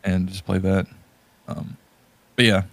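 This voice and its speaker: A man's voice: deep voice, little pitch movement, unhurried, gravelly, a bit bright. A man chatting casually about video games as a podcast co-host, in a hesitant, laid-back way.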